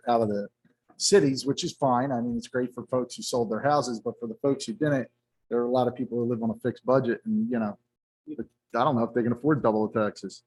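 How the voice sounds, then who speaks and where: Man, average pitch, earnest, concerned, restarts, town meeting room. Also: a little mellow, rough recording. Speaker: town board member. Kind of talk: deliberating in a public meeting.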